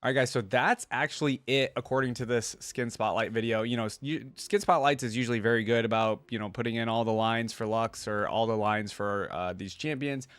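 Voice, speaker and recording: A man's voice: medium pitch, brisk, hardly any pauses, a bit bright, no room sound. Casual man reacting, close dry sound. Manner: informal, chatty, thinking aloud. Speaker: reaction video host.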